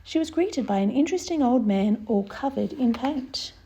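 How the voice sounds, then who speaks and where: A woman's voice: fairly high pitch, animated, normal speed, mellow, poor audio. A woman presenting story time, expressively reading a picture book aloud and speaking directly to young listeners. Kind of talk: read-aloud picture book narration for children.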